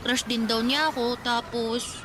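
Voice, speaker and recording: A woman's voice: a little high, steady tone, unhurried, background noise, natural voice. A woman playing a chatty, teasing yet wistful teenage cousin in a Filipino radio drama, speaking in a casual, confiding way. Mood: confiding, fond. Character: chatty, teasing, wistful older girl cousin in her teens.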